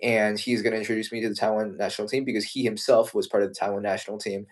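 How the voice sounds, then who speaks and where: A man's voice: on the low side, flat delivery, racing. A young man from the United States, a martial arts competitor chatting casually and earnestly, often restarting his sentences. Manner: earnest, thoughtful, with frequent restarts. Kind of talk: casual live-stream chat.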